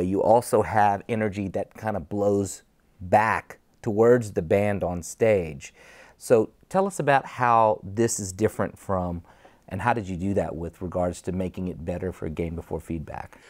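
A man's voice: on the low side, darkish tone. A man in his forties hosting a casual product presentation, asking questions and explaining features. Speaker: host interviewing and presenting products. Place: indoor studio set, seated.

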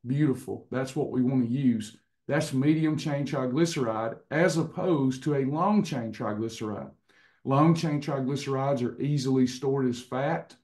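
A hoarse man in his fifties hosting a casual online recipe and wellness class over a webcam, chatty, with frequent restarts. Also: medium pitch, darkish tone. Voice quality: slightly hoarse, clears throat. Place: indoors in front of a printed backdrop. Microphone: webcam on a video call.

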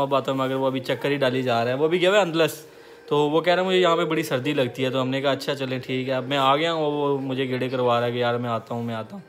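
A man, chatting casually to a phone camera held at arm's length as he vlogs on city streets and in a flat. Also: medium pitch. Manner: chatty, walking and talking to a handheld camera. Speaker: vlogger. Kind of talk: casual vlog chat. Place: city streets outdoors, then a flat indoors.

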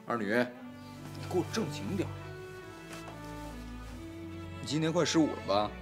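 A man's voice: medium pitch, expressive, fairly quick, natural voice. A man playing a harried lord of a household who is exasperated, scolding and flustered, in an audio drama. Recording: background music under the voice.

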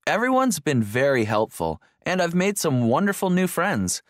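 Enthusiastic male tourist, played by a man, animated intonation, learner-audio dialogue, dry sound. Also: fairly high pitch, moderate pace, no echo. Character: enthusiastic male visitor enjoying his trip. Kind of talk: scripted small talk for an English listening exercise.

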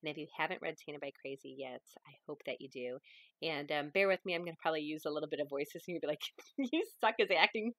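A woman's voice: low-pitched, fairly even intonation, fast talker, dry recording. A woman in her thirties talks casually as an author setting up a reading. She is self-deprecating and a little giggly. The sound is fairly dry, from a webcam in a living room. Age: 30s. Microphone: webcam or laptop mic at arm's length.

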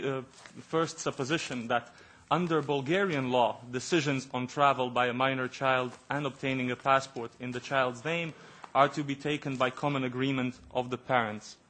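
A man in his thirties giving a formal statement as a Commission representative, measured and often pausing on "uh", into a desk microphone in a large committee room. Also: mid-range voice, fairly bright tone. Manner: measured, with frequent hesitant "uh" fillers.

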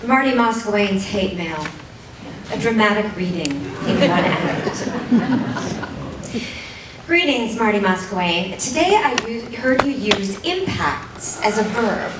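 A woman's voice: medium pitch, lively intonation, slow pace. A middle-aged woman giving a theatrical, mock-indignant dramatic reading on a stage, stretching out words for effect. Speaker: stage performer reading letters. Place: large event studio stage with audience. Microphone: stand mic, recorded from far camera. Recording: echoey hall, distant mic, room noise.

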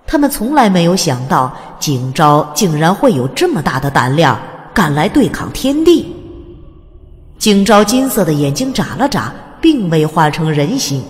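A woman reading scripted narration of a fantasy audiobook in a dramatic storytelling style. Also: sits low. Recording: long hall reverb.

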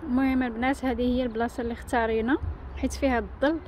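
A woman's voice: fairly high pitch, steady tone, racing, a little mellow, a little hiss or hum. A woman with a Moroccan Arabic accent chatting casually to viewers as a family vlogger. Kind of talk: casual vlog talk to viewers. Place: in a car and outdoors at a campsite. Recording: music over outdoor and car vlog audio.

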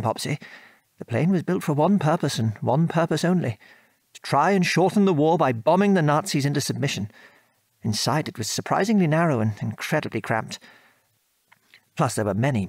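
A man's voice: fairly high pitch, no echo. An actor playing an affectionate British father and former wartime air force officer, patiently reminiscing in an audio drama. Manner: chuckling, patient, old-fashioned British turns of phrase.

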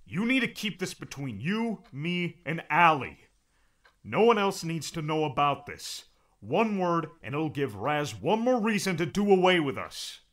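A man's voice: fairly high pitch, sing-song, measured pace, fairly bright tone. A man acting a stern, exasperated friend in an audiobook, blunt, scolding and insistent. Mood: stern warning.

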